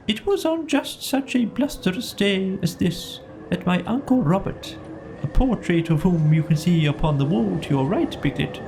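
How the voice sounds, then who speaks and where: Man doing a pompous, high-pitched storybook owl. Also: background noise, full character voice. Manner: pompous, long-winded, formal. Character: pompous, solemn male owl, flustered when alarmed.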